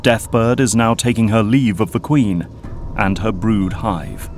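A man giving scripted narration for an audio drama in an even, descriptive manner. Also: fairly low pitch, measured pace, faint music behind.

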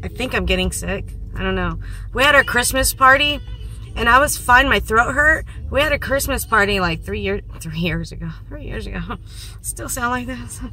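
Woman, thirties, hoarse voice, bright tone, rambling self-mocking chat, phone held in a parked car. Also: fairly high pitch, expressive, normal speed, slight background noise, rough recording. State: hoarse, losing her voice. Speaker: vlogger. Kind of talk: casual vlog chat. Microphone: phone at arm's length.

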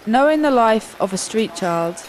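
A woman giving scripted documentary narration in a solemn, measured way, with short deliberate phrases. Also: fairly high pitch, animated, slight background noise, poor audio.

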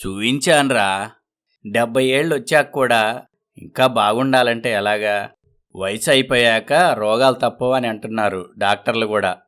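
A man's voice: mid-range voice, normal speed, a bit bright, clean tone, lightly acted. A man voicing an ailing, resigned village man of seventy who talks in a weary, complaining way.

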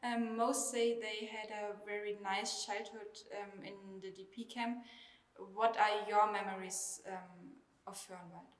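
A woman's voice: mid-range voice, level tone, measured pace. A woman interviewer asking questions with hesitant ums. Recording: echoey room, far from the mic.

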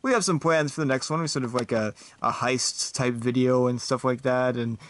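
A man voicing a relaxed knight movie star who rambles with lots of fillers. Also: mid-range voice, normal speed, close to an everyday voice. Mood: easygoing. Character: laid-back knight movie star, male adult.

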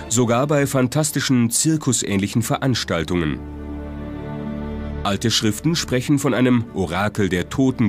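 A man reads scripted documentary narration. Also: on the low side. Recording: music behind the voice. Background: music.

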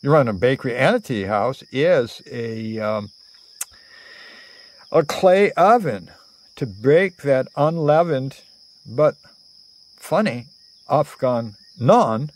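A man in his sixties telling a rambling, improvised story in a theatrical, halting way, full of digressions and pauses. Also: medium pitch, animated. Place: outdoors under cover among greenery. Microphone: phone at arm's length.

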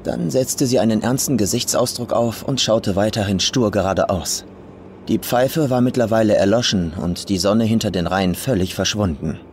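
A man's voice: fairly low pitch, normal speed, darkish tone, slight background noise. A man reading a scripted horror story as an audiobook narrator. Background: faint atmospheric ambience.

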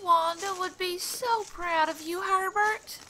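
A woman's voice: very high-pitched, slow pace, sharp tone, heavily acted. A woman playing a sweet, sentimental nature lover in an audio drama. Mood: tender. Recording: noisy outdoor birdsong ambience. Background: birdsong.

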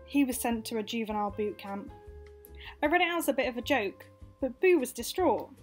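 A woman's voice: on the high side, expressive, a little fast, soft background music. A young woman acting out a wry, frank, confessional monologue as narrator of a radio play. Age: young adult.

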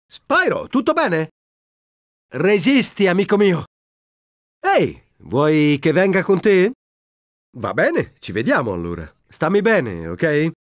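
An actor playing a cocky, friendly young cheetah sidekick in a video game dub, breezy, boastful and expressive. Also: male voice, on the high side, slow, long pauses, dry recording, studio quality, full character voice. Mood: concerned, friendly. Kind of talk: animated video game voice acting.

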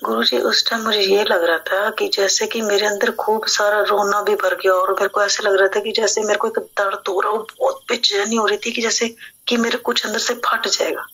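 Anxious woman caller with flat intonation. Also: medium pitch, normal speed, low-quality recording. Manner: deferential, earnest. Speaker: caller seeking help from a guru. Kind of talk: confiding phone conversation.

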